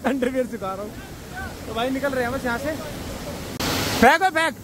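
High-voiced man vlogging by a waterfall. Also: fairly quick. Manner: chatty, joking, calls viewers brother. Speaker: travel vlogger. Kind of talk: casual vlog narration. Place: outdoors by a waterfall. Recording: noisy outdoor recording.

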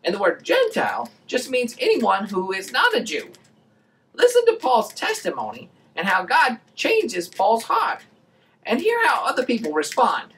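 A performer voicing an excitable, peppy puppet host who presents a children's show with exaggerated enthusiasm. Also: mid-range voice, a little slow, voice pushed up, heavily acted. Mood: peppy, excited.

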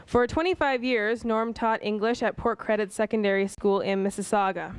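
A young woman presenting at a community meeting, reading a speaker introduction from her notes in a steady read-aloud way. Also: mid-range voice, moderate pace, clear, resonant tone, no echo, low-quality recording. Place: high school music room, small stage.

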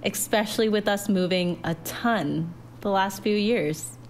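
A loving storybook mother, played by a woman narrator, tender and reassuring. She takes her time over each phrase and encourages softly. There is faint noise in the recording. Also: mid-range voice, animated, takes it slowly. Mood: warm, reassuring. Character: gentle, loving, reassuring mother of a growing girl.

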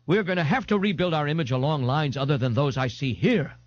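A man's voice: fairly high pitch, brisk, no room sound, highs cut off, pitched above the performer's own voice, natural voice. A man voicing a pompous, indignant fat man who speaks in a grave, grandiloquent, formal way in an audiobook. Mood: appalled.

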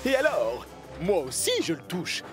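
A man voicing a boastful, excitable fire engine in a children's cartoon, showy and exclamatory. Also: a little high, a little slow, over music. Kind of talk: animated children's series dubbing.

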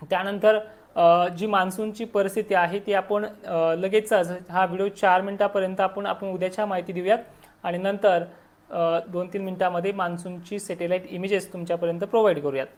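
A woman's voice: medium pitch, steady tone, brisk. A woman with a Maharashtrian Marathi accent gives an informal weather forecast for farmers, explaining as she lists districts. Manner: explanatory, listing districts one after another.

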